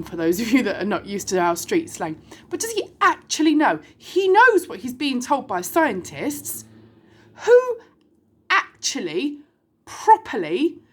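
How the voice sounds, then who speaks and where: A woman's voice: fairly high pitch, husky. Blunt British woman in her forties. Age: 40s. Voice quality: blunt, emphatic, swears, rhetorical questions. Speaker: vlogger. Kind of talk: candid monologue to camera.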